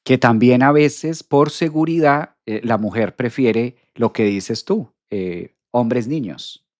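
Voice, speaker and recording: A man's voice: medium pitch, no echo. Guy doing an astrology livestream, keeps repeating himself, talks right to the chat, dry close sound. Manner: animated, repeats phrases, addresses audience directly. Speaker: astrologer hosting a live stream. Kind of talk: live stream talk reading viewer comments.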